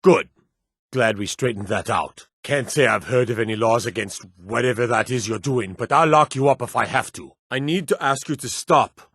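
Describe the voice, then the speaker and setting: A man's voice: medium pitch, normal speed, a bit bright, breathy edge, dry recording. Stern fantasy city guardsman, dry sound. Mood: stern, commanding. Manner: authoritative, curt, clipped commands. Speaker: game voice actor playing guards and soldiers. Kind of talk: fantasy video game character dialogue.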